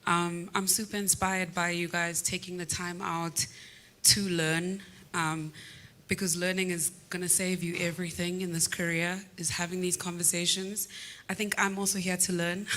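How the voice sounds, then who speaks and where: Woman, South African accent, baritone voice, reflective, panel talk, studio. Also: a bit bright. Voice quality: low baritone voice. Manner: reflective, many "um" pauses. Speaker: singer-songwriter panelist. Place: recording studio.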